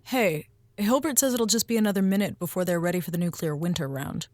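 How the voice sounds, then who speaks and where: An actress playing a casual, curious spaceship officer in an audio drama, speaking informally. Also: female voice, on the low side, quick pace, darkish tone, dry recording, close to an everyday voice. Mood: curious, soft. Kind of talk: audio drama acting, naturalistic dialogue.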